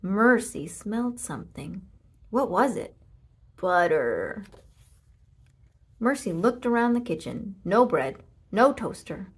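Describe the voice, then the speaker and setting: A woman narrating a children's storybook aloud, with expressive, deliberate delivery and emphasis on short sentences. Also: mid-range voice, breathy edge. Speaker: storybook reader.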